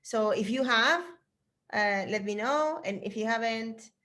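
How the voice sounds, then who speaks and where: A woman's voice: on the high side, a little slow, fairly bright tone. A middle-aged woman from Chile, a fitness trainer, chatting casually and encouragingly to her trainees on a video call. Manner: chatty and encouraging, with fillers and restarts.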